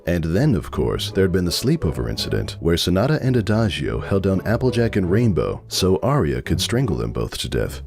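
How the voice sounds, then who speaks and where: A man giving a scripted dramatic reading of a story as its narrator. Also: sits low.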